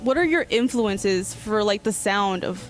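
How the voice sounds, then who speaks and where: A woman's voice: mid-range voice, lively intonation, unhurried. A woman in her twenties hosting a casual music interview, chatty and upbeat with lots of filler words. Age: 20s.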